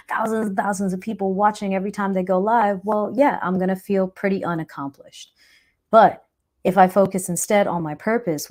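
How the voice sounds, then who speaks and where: A woman's voice: medium pitch, darkish tone. A woman hosting a live chat, talking earnestly and reflectively about self-doubt and growth, often checking in with a "right?". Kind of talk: motivational live stream talk.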